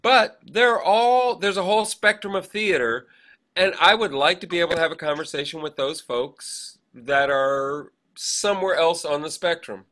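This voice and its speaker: Bright, higher-pitched American man, chatty host. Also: normal speed. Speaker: podcast host, actor and director. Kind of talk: casual chat.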